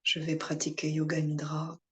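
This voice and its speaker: A woman yoga instructor guiding a relaxation meditation in calm, soothing short phrases with pauses. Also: sits low.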